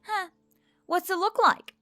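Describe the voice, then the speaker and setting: A woman's voice: very high-pitched, fairly even intonation, a little slow, bright, forceful tone, pitched above the performer's own voice, full character voice. A woman voicing a perky, easily hurt elf waitress in an audiobook-style story, with music behind her. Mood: perky, teasing. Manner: perky teasing, stammers apologetically when upset.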